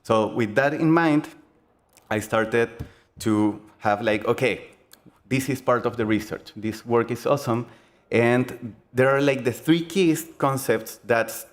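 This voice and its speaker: Earnest Chilean man giving a talk. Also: mid-range voice. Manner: halting, many fillers and restarts, earnest. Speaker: game designer giving a conference talk. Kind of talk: conference presentation.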